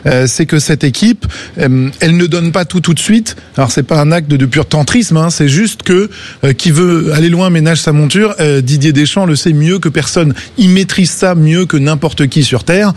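A man giving football opinions on a radio debate, animated and joking, full of hesitations. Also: on the high side, normal speed. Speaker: football pundit.

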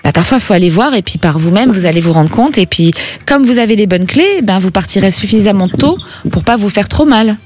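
A woman's voice: mid-range voice, lively intonation, a little fast, noisy background. Reassuring middle-aged woman radio psychic. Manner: reassuring, gently advising, frequent tag "hein". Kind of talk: call-in radio consultation.

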